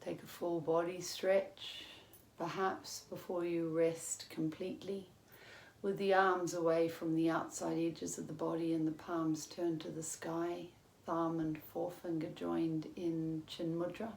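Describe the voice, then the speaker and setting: Female meditation guide, low register, calm and measured with long pauses, roomy sound. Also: creaky. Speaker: yoga teacher. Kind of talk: guided meditation, yoga nidra instruction. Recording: roomy echo with faint background noise.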